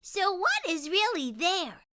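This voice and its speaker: A woman's voice: very high voice, wildly swooping pitch, slow pace, pushed and bright, pitched above the performer's own voice, exaggerated voice. A woman voicing a self-conscious, wide-eyed young girl in a storybook, with a childlike, wondering manner.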